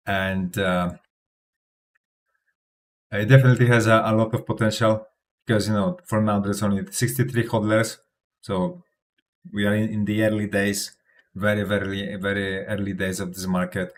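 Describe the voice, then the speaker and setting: Self-assured man, low voice. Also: level tone, normal speed, pauses often. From Poland. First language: Polish. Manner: rambling, self-assured, laughs often, restarts words. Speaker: crypto channel host. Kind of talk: casual livestream commentary.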